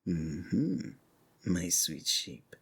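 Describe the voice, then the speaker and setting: A man playing a sinister, seductive cult preacher in an intimate ASMR roleplay, husky and breathy, often sinking into whispers, with archaic, formal endearments. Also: sits low, big pitch swings, very slow, fairly bright tone, heavily acted. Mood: smooth, menacing.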